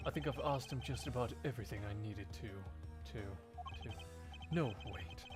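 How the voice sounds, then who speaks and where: A man voicing a cool, stern investigator in a measured, formal way for a game fandub. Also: mid-range voice, loud music behind the voice, voice pushed down, natural voice. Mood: stern, probing. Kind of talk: video game fandub acting.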